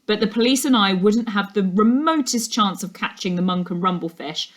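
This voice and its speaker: Woman reading grown man detective, storybook uncle, earnest, measured, explanatory. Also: medium pitch, animated, natural voice. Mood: earnest, proud. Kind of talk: storybook dialogue.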